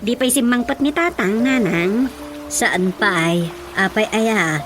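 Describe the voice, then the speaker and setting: A woman with an Ilocano accent playing a concerned daughter in a radio drama, with a measured, questioning manner. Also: medium pitch, lively intonation, music in the background. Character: concerned daughter chatting with her mother. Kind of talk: Filipino radio drama acting.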